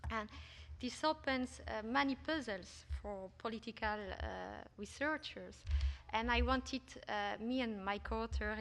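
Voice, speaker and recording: A woman's voice: a little high, fairly bright tone. Woman presenting in an echoing hall. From Belgium. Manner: hesitant, frequent "uh" fillers and restarts. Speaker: researcher presenting a paper. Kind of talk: academic conference talk. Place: conference hall.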